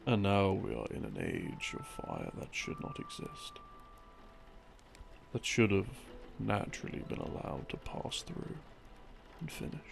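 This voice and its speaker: A man telling a rambling story as a sleep aid, in a halting, musing way with pauses, in the role of a boyfriend explaining things. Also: on the low side, huge pitch swings. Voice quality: creaky, gravelly. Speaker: audio roleplay creator playing a boyfriend. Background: background music.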